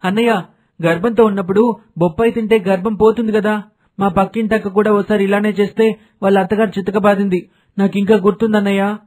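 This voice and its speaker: A man voicing an earnest, pleading younger village man in an animated moral story, respectful and insistent. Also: high voice, brisk. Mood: concerned.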